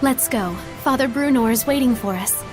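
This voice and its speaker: A woman's voice: on the high side, normal speed, over music, natural voice. A woman voicing a resolute princess who commands her guards in a video game, decisive in manner. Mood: composed. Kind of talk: English game voice acting.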